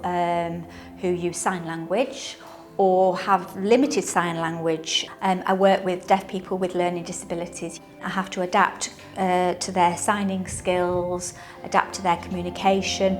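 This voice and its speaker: Halting, even-toned woman with low voice. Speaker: witness intermediary. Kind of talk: interview talk about own work.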